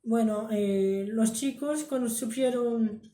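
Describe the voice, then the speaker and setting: A man's voice: very high voice, slow pace, dark tone. Hesitant young man, Brazilian accent. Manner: hesitant, many fillers, trailing off. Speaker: guest. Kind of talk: casual interview answers. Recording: echoey room.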